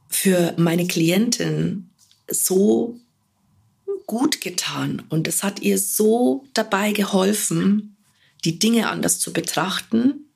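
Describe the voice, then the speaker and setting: A woman hosting her own podcast as an animal communicator, talking in a gentle, earnest way, hoarse from a cold. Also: medium pitch. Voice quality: husky, gravelly. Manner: gentle, earnest, with fillers and repeated words. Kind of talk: solo podcast monologue.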